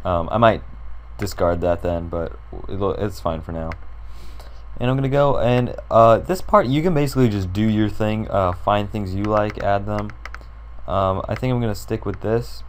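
Hoarse young man with a low voice. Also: normal speed, a little hiss or hum. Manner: rambling, filler-heavy, thinks aloud while clicking. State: hoarse, sore throat. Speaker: tutorial creator. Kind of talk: casual screen-recorded design tutorial.

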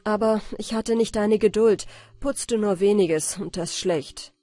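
A woman's voice: mid-range voice, unhurried, warm tone, no room sound, lightly acted. A woman voicing a humble little old enchanted water man in a fairy tale, earnest and plain. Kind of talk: storybook reading.

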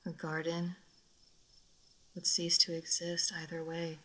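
A woman playing a gentle, melancholy old vampire lady in an intimate roleplay audio drama, speaking softly and formally. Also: fairly low pitch, completely flat delivery, slow pace, full character voice. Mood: wistful, reflective. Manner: soft, formal, wistful, sometimes near whispering.

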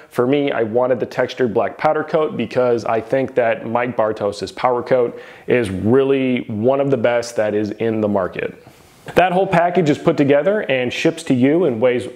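Male reviewer, 30s, garage gym, detailed and matter-of-fact, average pitch. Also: darkish tone. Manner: methodical, detailed, plain-spoken. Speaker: equipment reviewer. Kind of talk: product review to camera.

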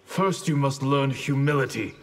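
An actor playing a stern, honour-bound ninja grandmaster in a video game, with a husky voice and formal, solemn manner. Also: male voice, medium pitch, moderate pace, lightly acted. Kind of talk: video game fight intro dialogue.

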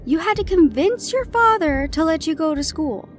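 A woman's voice: very high-pitched, animated, moderate pace, soft background music. A woman playing a young gorgon in an audio roleplay, shy and flustered but playfully blunt. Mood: teasing, curious. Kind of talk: roleplay audio drama acting.

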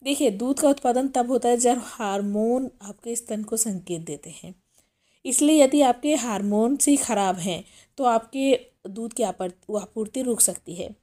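A woman hosting a pregnancy health channel, explaining step by step and calling her viewers friends, her voice a little hoarse from a cough. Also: on the high side, moderate pace, warm tone. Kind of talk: informational talk.

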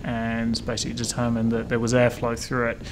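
A man at mid pitch talks through a caving trip in a steady, matter-of-fact way. A faint hiss of background noise sits under his voice. He sounds like an expedition member giving a documentary interview. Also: fairly quick. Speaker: cave diver.

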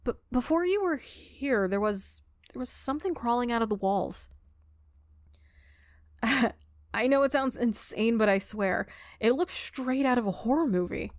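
A woman's voice: on the high side, lively intonation, measured pace, no room sound, clean studio sound. Woman as a rattled, skeptical college student.

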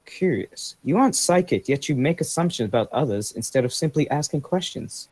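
Haughty fantasy elf prince played by a man, very animated delivery, medium pitch. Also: brisk, breathy edge. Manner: pompous, condescending. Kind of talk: character voice acting audition.

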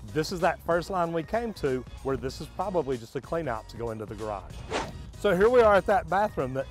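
A middle-aged man, a plumber walking viewers through a building site outdoors and explaining his work in a folksy way, talking straight to them. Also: on the high side. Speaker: plumber, channel host.

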